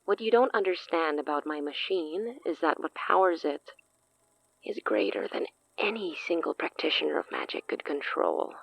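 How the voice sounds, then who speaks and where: An actress playing a grandiose, amused, long-lived sorceress in a horror audio drama, speaking eloquently and theatrically with hushed asides. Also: female voice, low-pitched, lively intonation, moderate pace, vocal fry, heavily acted, low-quality recording. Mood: ominous, awed.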